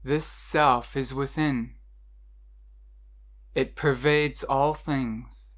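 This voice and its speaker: A meditation guide narrating a scripted guided meditation in a measured, instructional way with deliberate pauses. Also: medium pitch, steady tone.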